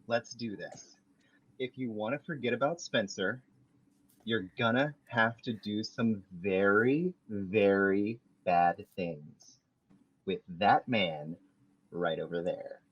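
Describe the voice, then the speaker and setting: A man's voice: lowish voice, slow, slightly rough, dull audio. A man reading the part of a laid-back male bartender friend at a podcast table read, with a coaxing, conspiratorial manner.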